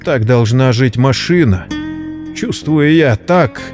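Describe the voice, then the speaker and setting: A man narrating a scripted story dramatically, in a solemn, measured literary manner. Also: medium pitch, lively intonation. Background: background music.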